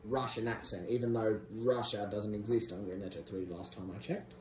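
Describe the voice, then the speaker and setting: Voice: fairly even intonation, normal speed, darkish tone. Mocking Australian speaker, echoey bedroom. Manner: mocking, bossy, jumps between high and low. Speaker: vlogger filming a family skit. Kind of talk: casual teasing banter. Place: small bedroom with blinds and a gaming desk. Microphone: handheld phone.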